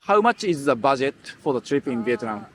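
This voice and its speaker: Lively male street interviewer. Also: mid-range voice, fairly quick, slight background noise. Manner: upbeat, short questions. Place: beach outdoors.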